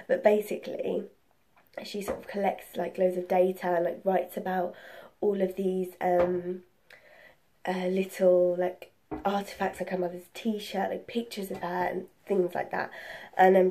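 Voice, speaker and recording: Young woman, soft warm tone, slight head cold, casual book vlogger, rambling, excitable, self-correcting. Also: mid-range voice. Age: young adult. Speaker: book reviewer and video host. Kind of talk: casual vlog chat about books. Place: room at home.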